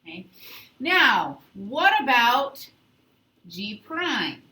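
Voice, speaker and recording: A woman teaching high school calculus to a class, instructive, often checking understanding with short questions and prompts. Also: very animated, extremely slow, sharp tone. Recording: echoey classroom, distant mic, faint noise.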